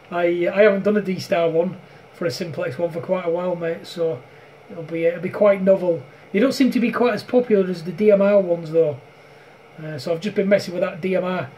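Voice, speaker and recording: A man's voice: sits high, dark tone, slight background noise, poor audio. A man chatting casually and matily over amateur radio, with an informal, bantering manner. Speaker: amateur radio operator filming a contact.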